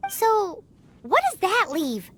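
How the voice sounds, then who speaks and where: Tiny squeaky fairy girl for a game, super high childlike voice, chirpy n bouncy. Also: wildly swooping pitch, slow, exaggerated voice. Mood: curious, puzzled. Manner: chirpy, talks in third person. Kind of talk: video game voice acting. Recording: prominent game music behind the voice.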